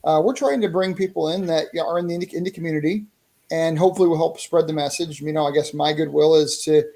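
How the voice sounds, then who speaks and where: A man's voice: a little high, steady tone, fast talker, vocal fry, mellow. A man talking casually as a guest on a podcast, enthusiastic and explanatory, often repeating his phrases. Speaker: interview guest, indie comic creator promoting a fighting game.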